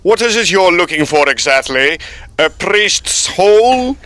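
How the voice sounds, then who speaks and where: A man's voice: high-pitched, animated, measured pace, pushed and bright, no room sound, heavily acted. An actor playing a respectful, matter-of-fact tradesman in an audio drama, blunt and sure of his craft.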